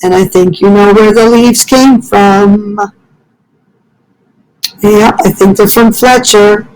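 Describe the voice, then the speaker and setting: Librarian lady doing storytime for little kids, really slow, careful, explains stuff as she reads. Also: medium pitch, fairly even intonation, darkish tone, slight background noise, rough recording. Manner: careful, explanatory, addressing young children. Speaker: school librarian reading to children. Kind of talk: read-aloud storytelling with teaching asides.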